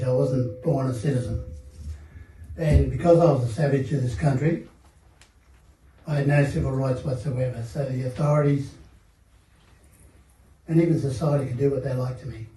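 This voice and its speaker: An older Australian man speaking as a panel guest at a seminar, telling his life story in a measured, reflective way with many pauses and fillers. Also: mid-range voice, fairly even intonation, dark tone. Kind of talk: personal testimony at a seminar panel. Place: seminar hall. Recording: echoey room, mic at a distance.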